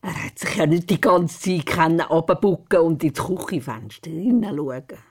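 A woman's voice: low-pitched, normal speed, slightly rough. An older-sounding woman playing a down-to-earth, teasing grandmother with a Swiss German accent in a children's radio play. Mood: knowing, calm. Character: knowing, matter-of-fact, teasing old grandmother.

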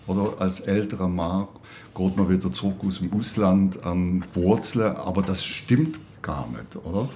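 A man's voice: sits low, moderate pace, a little mellow, slight background noise. A man from Basel in Switzerland speaking as an interviewer, informally and conversationally.

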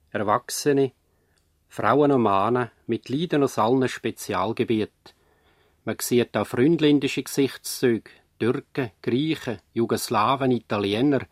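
An earnest male radio play narrator with a medium-pitched voice. He speaks in deliberate, rhetorical phrases, recorded very dry. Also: no echo, natural voice. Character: earnest, probing narrator-commentator of a medical drama.

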